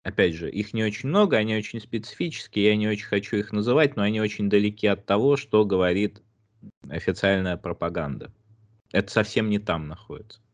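A man in a casual discussion, speaking in a measured, hesitant way as he searches for words. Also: lowish voice, animated.

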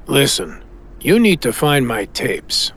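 A man's voice: mid-range voice, very animated, slow, a bit bright, slightly rough, heavily acted. An actor playing the taunting, cryptic ghost of a dead man in an audio drama, mocking and insistent.

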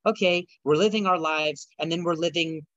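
Halting, bright-voiced man with a stutter. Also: fairly high pitch, dry recording, muffled recording. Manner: halting, many restarts and repeated words. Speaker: podcast guest. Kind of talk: reflective conversation.

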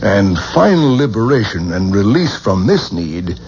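Low-voiced, composed occult scholar. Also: male voice, big pitch swings, a little mellow. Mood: measured, lecturing. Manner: professorial, measured, patronizing explanations. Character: composed, learned male expert on reincarnation and the occult. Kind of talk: 1970s American radio drama acting. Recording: muffled, noisy 1970s radio broadcast.

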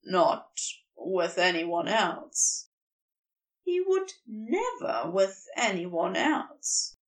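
A woman narrating a story from a script in an expressive storytelling style. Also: fairly low pitch, extremely slow, distant mic. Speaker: podfic narrator.

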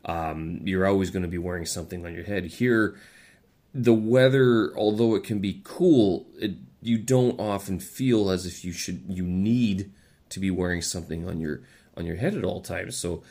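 A man's voice: on the low side, vocal fry, a little mellow. A man with a stuffy nose from a head cold, rambling in a casual vlog with many fillers and restarts. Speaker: vlogger. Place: living in China.

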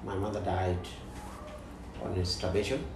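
A man in his forties from South Sudan, a guest in an interview, speaking slowly and haltingly with many pauses. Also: low voice. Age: 40s. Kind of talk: interview conversation. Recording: distant mic in echoey room, noisy.

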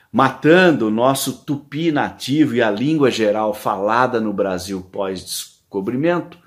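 Brazilian-accented male commentator, 60s, slightly higher voice than most men, dry ironic delivery, reads a prepared piece. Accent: Brazilian Portuguese. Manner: wry, ironic, with rhetorical questions. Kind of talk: scripted opinion commentary.

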